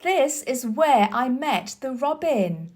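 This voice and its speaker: A woman's voice: high-pitched, expressive, slow pace, darkish tone, pitched above the performer's own voice, exaggerated voice. A woman voicing an eager, friendly young girl acting as a guide in a storybook reading.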